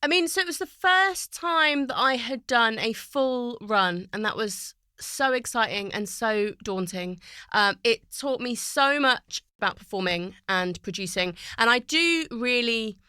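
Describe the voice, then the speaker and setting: A woman's voice: on the high side, expressive, unhurried, bright, forceful tone, clean tone, no echo, clean studio sound. A woman guest on a podcast, a poet and TV writer, telling her story in a fluent, reflective way. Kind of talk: casual podcast conversation. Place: recording studio.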